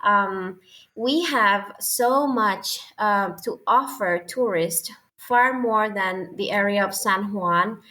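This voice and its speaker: A young woman with a thick Puerto Rican Spanish accent talks as a guest in a relaxed interview. English is her second language, so she stops often to hunt for the right word. Her voice sits at an ordinary, middling pitch for a woman. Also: slow pace. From Puerto Rico. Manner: hesitant, searching for words, frequent fillers. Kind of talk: casual interview conversation.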